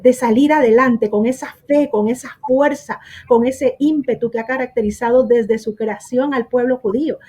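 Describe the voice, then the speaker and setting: A woman's voice: on the high side, mellow, husky. A woman hosting a television talk show, speaking in long flowing sentences with some repeated words. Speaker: host.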